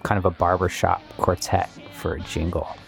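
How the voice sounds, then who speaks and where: A man, a musician and composer, talking reflectively and in measured phrases about his work. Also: low-pitched, flat delivery, gravelly. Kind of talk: reflective interview monologue about making music. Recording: music playing behind the voice. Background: guitar-led instrumental music.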